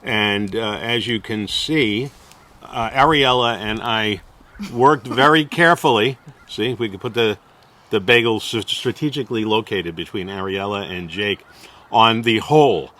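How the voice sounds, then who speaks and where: A man from Brooklyn hosting a casual interview, opinionated and halting with frequent fillers. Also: lowish voice, bright, forceful tone. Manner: hesitant, many uh fillers, opinionated. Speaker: host.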